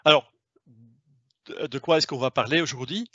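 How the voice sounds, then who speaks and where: Bright-voiced man, non-native French speaker. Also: a little high, normal speed, dry recording. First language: not French. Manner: hesitant, many fillers and restarts. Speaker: sales lead presenting a webinar. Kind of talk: online presentation. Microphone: video call. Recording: dry, slightly dull online call audio.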